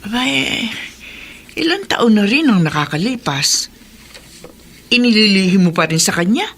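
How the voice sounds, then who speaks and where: A woman's voice: mid-range voice, lively intonation, normal speed, a bit bright, husky. A woman playing a chatty, concerned older neighbour in a radio drama, folksy and exclaiming. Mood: disapproving concern. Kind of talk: Filipino radio drama acting.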